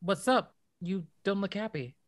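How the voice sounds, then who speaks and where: A woman with an American accent playing a gentle, anxious young husband in a modern radio play, casual and conciliatory. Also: mid-range voice, a little slow, faint music behind, lightly acted. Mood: concerned.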